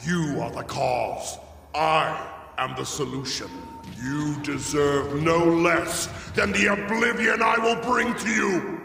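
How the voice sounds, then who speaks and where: An actor playing a ruthless male robot warlord who gives imperious, menacing orders in a gravelly voice. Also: fairly high pitch, takes it slowly, a little hiss or hum, full character voice. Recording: roomy game cutscene over loud music.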